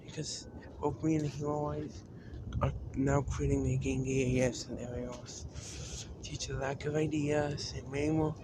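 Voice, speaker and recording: A man giving an unscripted vlog-style talk as a video creator, haltingly, often repeating and restarting his phrases. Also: mid-range voice, little pitch movement, heavy background noise. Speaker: video creator announcing a decision to fans.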